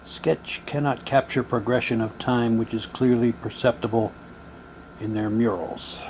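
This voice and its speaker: A man giving halting, low-key, wry commentary as he casually unboxes items and reads captions aloud. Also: fairly even intonation, darkish tone, a little hiss or hum. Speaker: unboxing video host.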